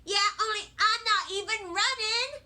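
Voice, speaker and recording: Woman acting bright, high-pitched stubborn little girl. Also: slow, exaggerated voice. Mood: stubborn, defiant. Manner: childish grammar, emphatic, insistent. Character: stubborn, excitable kindergarten girl narrating her troubles. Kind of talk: children's storybook read aloud.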